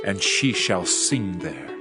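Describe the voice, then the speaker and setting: A man's voice: low-pitched, very animated, slow pace, full character voice. A man playing a grave prophet in a dramatized scripture reading, solemn, emphatic and declamatory. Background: music.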